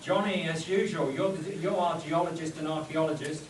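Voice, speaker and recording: A man's voice: fairly high pitch, steady tone, normal speed, natural voice. Man playing composed adventure hero, echoey hall. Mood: calm, commanding. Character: commanding, composed adventure hero leading his crew. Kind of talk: live spoof radio play read on stage. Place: echoey convention room with audience. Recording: echoey hall, distant mic, audience noise.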